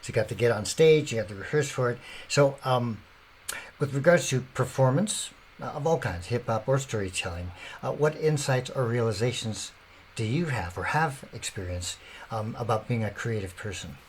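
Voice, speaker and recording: A man hosting an interview, asking gentle, unhurried questions with frequent fillers. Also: medium pitch, animated, slight background noise. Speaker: interviewer, host.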